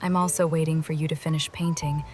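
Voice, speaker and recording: Low-pitched woman narrating a game story. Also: flat delivery, fast talker, darkish tone, faint music behind. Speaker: voice-over reader of a game story. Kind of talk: scripted first-person story narration with dialogue.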